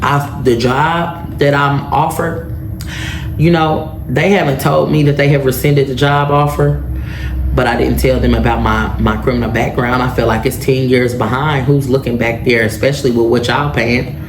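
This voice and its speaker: A woman's voice: a bass voice, fairly even intonation, normal speed. A woman in her late thirties talks through a solo podcast in a loose, storytelling way. She keeps asking her listeners "right?" as she goes. Her voice rings in a roomy space with a faint hiss behind it. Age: 30s. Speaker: podcast host. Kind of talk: casual solo podcast monologue. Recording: echoey room with faint background noise.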